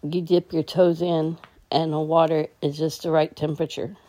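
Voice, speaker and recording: A woman guides a meditation in a low, soft-edged voice. Her tone is dark and mellow, and she gives each instruction gently and calmly. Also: moderate pace.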